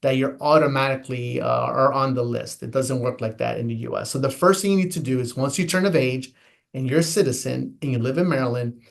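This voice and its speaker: A man's voice: medium pitch, a little fast, vocal fry. Earnest, emphatic man explaining voting. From United States. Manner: earnest, emphatic, with frequent repetitions and restarts. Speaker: elections official, guest. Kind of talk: interview explanation.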